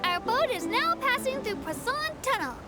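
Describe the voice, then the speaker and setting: A woman's voice: extremely high pitch, big pitch swings, normal speed, loud music behind the voice. A woman voicing a cheerful, childlike little creature who works as an eager tour guide in a video game. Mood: earnest, explaining. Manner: earnest, chirpy, childlike. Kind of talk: scripted video game dialogue.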